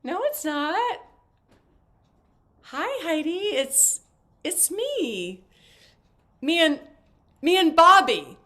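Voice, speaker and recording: A woman's voice: very high-pitched, very animated, extremely slow, pauses often, pushed and bright, exaggerated voice. An actress playing a talkative, flighty, intrusive older mother in a stage play, chirpy and theatrical as she rambles. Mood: coy, cheerful.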